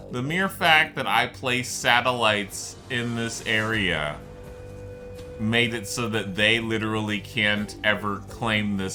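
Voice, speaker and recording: Slow, bright-voiced man commentating a game. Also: lowish voice. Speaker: gameplay video host.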